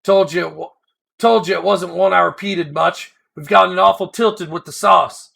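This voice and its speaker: A man's voice: high voice, steady tone, normal speed, creaky, a bit bright, slightly rough, exaggerated voice. A man voicing a humble, sentimental older builder who tells his story with rustic, backwoods grammar. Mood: wistful. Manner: emotional, plainspoken storytelling. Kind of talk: first-person character reading.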